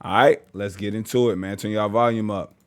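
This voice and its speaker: A man's voice: on the low side, brisk. A man hosting a reaction video, joking and swearing in casual commentary. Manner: joking, animated, profane.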